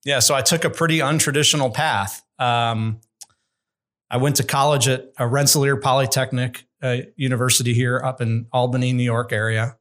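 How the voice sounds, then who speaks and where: A middle-aged man speaks as a podcast guest, calm and measured, with a candid and thoughtful way of putting things. His voice sits at an ordinary middle pitch for a man. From United States. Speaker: construction executive guest. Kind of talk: conversational interview.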